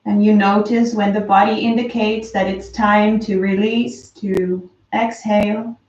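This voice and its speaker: A woman slowly and softly guiding a breathing meditation, calm with long pauses. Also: medium pitch. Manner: slow, soft, calm guidance with long pauses. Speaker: meditation teacher. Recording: roomy, distant, dull lo-fi sound.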